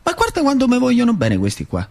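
An actor playing an excitable working-class factory worker, telling his story in a central Italian accent with repeated phrases for emphasis. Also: extremely high pitch, fast, creaky, full character voice. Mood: wry, nostalgic. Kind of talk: theatrical monologue in dialect.

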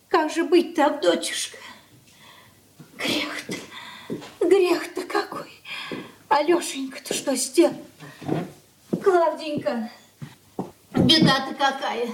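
A woman's voice: sits high, slow pace, long pauses. An actress in a radio drama playing an anxious, submissive older mother, plaintive and pleading. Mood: alarmed, lamenting. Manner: plaintive lamenting with folksy diminutives. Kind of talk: Russian stage-style radio drama acting.

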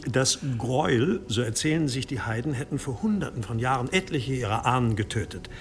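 An actor playing an obsequious, scheming medieval monastery exorcist in an audio drama, deferential, hesitant and persuasive. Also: male voice, mid-range voice, expressive, normal speed, close to an everyday voice. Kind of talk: German audio drama acting.

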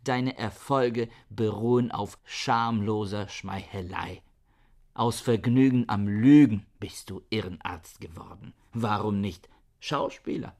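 A man's voice: on the low side, slow pace, darkish tone. An actor playing a bitter, misanthropic scholar in a radio drama, harsh and lecturing in his contempt. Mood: bitter accusing. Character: bitter misanthropic male scholar, scornful older brother. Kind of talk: German radio drama acting.

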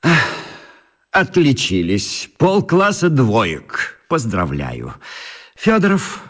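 A mocking male schoolteacher in a children's radio drama. He draws his words out slowly and sarcastically, with hugely animated swoops in pitch. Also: exaggerated voice. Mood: sarcastic. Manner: drawn-out, mocking. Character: sarcastic adult male maths teacher. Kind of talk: children's radio play acting.

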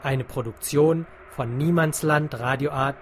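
A man reading scripted closing credits for a radio production in a measured, deliberate way. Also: medium pitch, background noise. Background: music.

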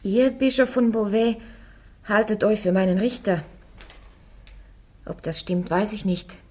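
An actress playing a devout young woman warrior on trial, answering her judges firmly and calmly in a radio drama. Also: medium pitch, a little slow, lightly acted. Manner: firm, calm, defiant answers.

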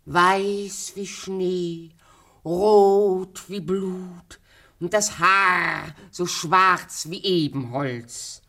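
A woman's voice: fairly low pitch, very slow, fairly bright tone, full character voice. An actress playing a vain, cruel queen in a radio play, theatrical, by turns wheedling and imperious. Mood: coaxing, sly. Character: vain, cruel queen, at times posing as a coaxing pedlar woman.

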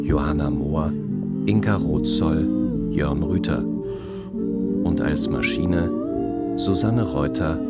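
A man reading the closing credits of a radio play in a formal, even way. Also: very deep voice. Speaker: credits announcer. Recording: announcement over loud music.

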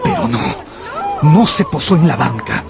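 An actor playing an anxious gambler who narrates his own ruin in a radio novel, brooding and intense, with dramatic pauses. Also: a little high, huge pitch swings, gravelly, over music, exaggerated voice. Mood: feverish, defiant.

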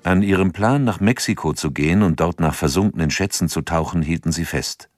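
A man giving sober, measured scripted narration for a radio play. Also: sits low, no room sound, clean studio sound, faint music behind.

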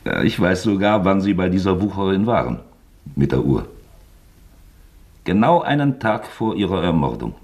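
An actor playing a sly, falsely genial investigator in a German radio play, mock-forgetful and probing with creaky politeness. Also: male voice, sits low, animated, normal speed, long pauses, darkish tone. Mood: feigned geniality, probing.

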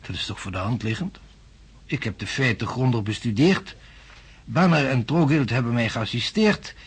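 An actor playing a self-assured, skeptical male physics professor in a radio drama, husky and pompously lecturing. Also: mid-range voice, lively intonation, moderate pace. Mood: assertive.

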